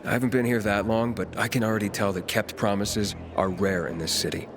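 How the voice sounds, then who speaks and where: An actor playing a wary, driven young outsider hero in a video game, with terse, guarded delivery. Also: male voice, fairly low pitch, steady tone, a little fast, noisy background, lightly acted. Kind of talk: video game dialogue acting. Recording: music behind the voice.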